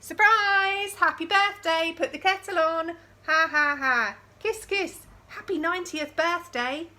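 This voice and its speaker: A woman's voice: very high-pitched, a little slow, bright, forceful tone, voice pushed up, heavily acted. A woman voicing gleeful birthday visitors in a children's storybook, calling out in exclamations.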